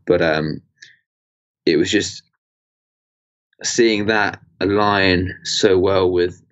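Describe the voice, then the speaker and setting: A man's voice: sits low, muffled recording. A man in his twenties from England, a podcast guest talking casually with many fillers and restarts. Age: 20s. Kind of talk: casual interview chat.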